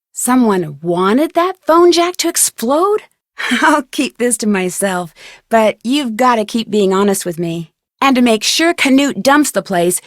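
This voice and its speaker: A young female amateur detective for a video game. Her voice sits a little high and is recorded very dry. She speaks in an earnest, upbeat and inquisitive way, polite with everyone she questions. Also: no room sound. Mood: serious, accusing. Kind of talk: scripted adventure game dialogue.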